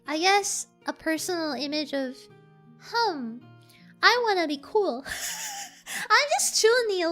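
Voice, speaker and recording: A soft-voiced woman streamer chats with her viewers in a very high, sharp, bright voice. She giggles and repeats herself as she reads their messages. Faint music plays behind her. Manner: giggly, chatty, stumbles and repeats words. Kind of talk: casual chat, reading viewer messages.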